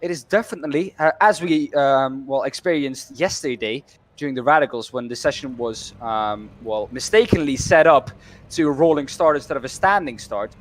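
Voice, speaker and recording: A man co-commentating a sim race, speaking in a measured, analytical way with frequent hesitations. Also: medium pitch. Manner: measured, analytical, many fillers.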